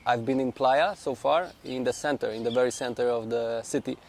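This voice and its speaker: A young man from Poland with a Polish accent, a native Polish speaker, chatting in English in a relaxed, thoughtful way while walking outdoors. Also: mid-range voice, a little fast, creaky. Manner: relaxed, thoughtful, with many uhs. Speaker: guest, local resident. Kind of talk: casual conversation while walking. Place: outdoors on a residential street.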